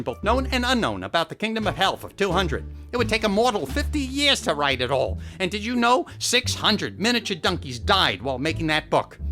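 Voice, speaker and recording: Man playing a boisterous, wildly animated dead monk. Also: a little high. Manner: brash, wisecracking, chatty. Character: boisterous fast-talking dead monk lounging in hell. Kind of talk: comedy audio drama acting.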